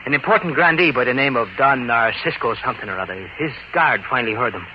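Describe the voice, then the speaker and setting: A man's voice: medium pitch, fast, natural voice. Man acting a hearty first mate, muffled radio. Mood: excited. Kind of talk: old-time radio adventure acting. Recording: muffled, noisy old radio recording.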